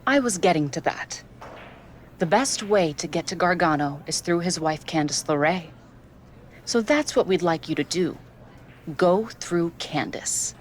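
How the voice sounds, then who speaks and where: Wrestling villainess, lowish voice, sultry, slow teasing menace, video game cutscene, faint background noise. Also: female voice, lively intonation. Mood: scheming. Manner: slow, teasing, menacing delivery with drawn-out phrases. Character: sultry, scheming female wrestling villain recruiting an ally.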